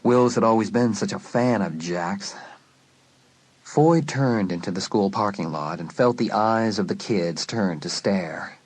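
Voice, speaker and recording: A man narrating a suspense story in a dramatic, scripted style, letting phrases drop to a hush. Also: on the low side, a little slow. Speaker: audiobook narrator.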